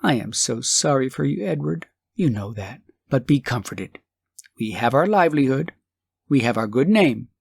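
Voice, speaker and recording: Male reader as old woman, poor and anxious, fretful manner, creaky edge, lively pitch, storybook reading. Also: moderate pace, no room sound. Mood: consoling. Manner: sighing, fretful worrying.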